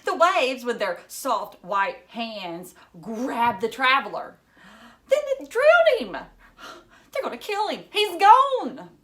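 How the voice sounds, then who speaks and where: A woman's voice: high-pitched, sing-song, slow pace, sharp tone, far from the mic, pitched above the performer's own voice, full character voice. A woman reading a storybook as an anxious, dramatic young schoolgirl, with emphatic, alarmed delivery. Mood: insistent, horrified.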